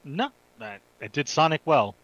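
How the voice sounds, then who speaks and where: A man with a fairly high, bright voice co-hosts a casual podcast. He talks informally in slang and readily agrees. The sound is close and dry. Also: sing-song, normal speed, no room sound, dull audio. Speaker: podcast co-host. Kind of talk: casual chat.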